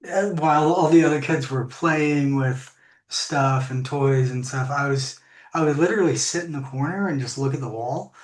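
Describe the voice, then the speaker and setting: A woman with a deep voice, a podcast guest talking things through in a reflective way, often pausing and restarting. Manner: reflective, unhurried, many fillers and restarts. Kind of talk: casual interview talk. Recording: echoey room.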